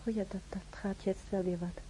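An actress playing a weak, dazed young woman in a hospital scene of a radio play, speaking faintly and haltingly. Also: medium pitch, flat delivery, warm tone, a little hiss or hum, natural voice, poor audio. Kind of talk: radio drama acting.

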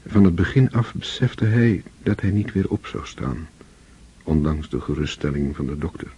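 A man narrating a radio play in a measured, solemn manner. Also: a bass voice, animated, mellow, slightly rough. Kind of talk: scripted literary narration. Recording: dull lo-fi old radio recording.

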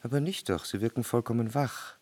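An actor playing a jealous, introspective gentleman who narrates a literary radio drama in a reflective, intimate way, tender yet analytical. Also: medium pitch, fairly quick, dry recording, close to an everyday voice. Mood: probing.

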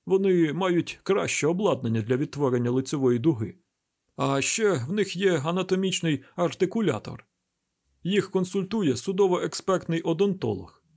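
A man's voice: on the high side, animated, moderate pace, darkish tone, pitched above the performer's own voice. A man voicing a testy, exacting chief medical examiner in an audiobook, formal and precise in manner. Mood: controlled, explanatory.

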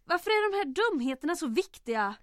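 A woman playing a spirited, quick-witted heroine in an old-time radio drama, with a wry, exasperated and expressive delivery. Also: extremely high pitch.

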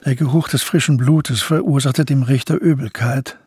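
An actor as the narrator of a historical crime radio drama, reading scripted literary third-person prose. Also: male voice, mid-range voice, moderate pace, a little mellow, husky, no echo. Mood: narrating.

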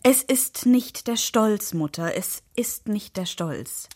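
Slow, husky, sighing reciter. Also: female voice, mid-range voice. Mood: tragic, tender. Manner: sighing, expressive, theatrical delivery. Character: refined stage actress reading a melodramatic novel aloud. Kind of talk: radio drama, literary recitation.

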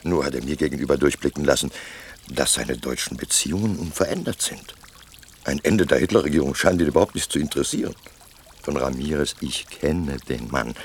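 Deep-voiced, wheedling businessman, lively intonation. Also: a little hiss or hum. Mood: insinuating. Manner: polite, insinuating, persuasive. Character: deferential, wheedling Mexican businessman pressing a politician. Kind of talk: radio play dialogue acting.

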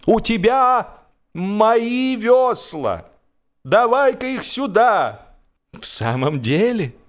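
Excitable young-hearted amateur oarsman, played by a male narrator, indignant, exclamatory, pitched up, comic storybook reading. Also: drawn-out words, full character voice. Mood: excited indignation. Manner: exclamatory, exaggerated.

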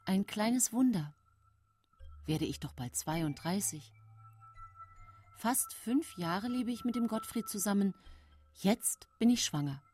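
A woman's voice: medium pitch, expressive, takes it slowly, pauses often, dark tone, soft background music. An actress playing a composed, calculating woman in her thirties who narrates her own story in a radio drama, controlled and matter-of-fact. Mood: composed, confiding. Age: 30s.

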